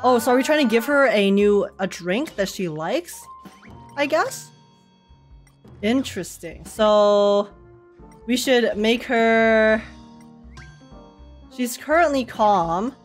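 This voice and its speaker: A woman giving casual reaction commentary as she plays a video game, chatty and thinking out loud. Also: on the high side, lively intonation, slow pace, long pauses. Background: game music.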